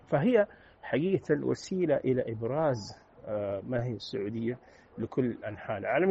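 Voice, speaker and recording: A Saudi man with a Saudi accent gives an interview guest's commentary in a husky, gravelly voice. He talks very fast and stays earnest, with frequent drawn-out "aah" fillers between phrases. The audio sounds dull and lo-fi. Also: medium pitch, warm tone. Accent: Saudi Arabic. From Saudi Arabia.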